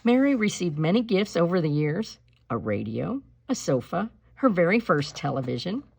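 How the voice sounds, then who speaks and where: A woman reading a children's picture book aloud in an expressive, emphatic storytelling style, slowing on key words. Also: on the low side, gravelly, slightly rough. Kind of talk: expressive read-aloud storytelling with spoken asides.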